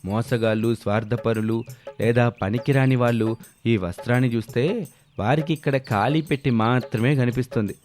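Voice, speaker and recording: A man's voice: medium pitch, moderate pace, darkish tone, close to an everyday voice. A man playing a boastful, persuasive swindler who poses as a heavenly weaver in a storybook tale. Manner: boastful, persuasive salesman talk. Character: smooth-talking male swindler posing as heavenly weaver. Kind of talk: scripted story dialogue. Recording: background music behind the voice.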